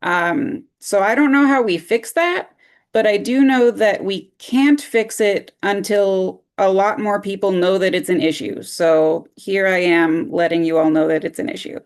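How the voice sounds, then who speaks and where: A woman's voice: medium pitch, unhurried. A woman speaking candidly and earnestly as a panelist. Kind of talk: personal statement on a panel.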